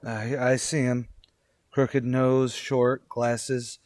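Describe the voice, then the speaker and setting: A man playing an anxious, apologetic condemned prisoner in a radio drama, halting and stammering meekly. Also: medium pitch, level tone, a little mellow. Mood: anxious, straining.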